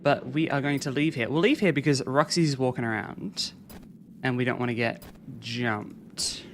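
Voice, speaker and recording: A game streamer talking casually through a live stream, chatting with viewers and reading chat aloud in a soft, soothing way. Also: medium pitch, normal speed, a little hiss or hum. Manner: chatty, reads and answers chat.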